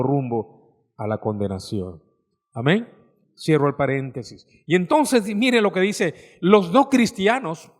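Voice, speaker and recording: A Peruvian man preaching an expository sermon to a congregation, teaching emphatically, posing questions and addressing his listeners as brothers. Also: on the high side. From Peru, coastal fishing port.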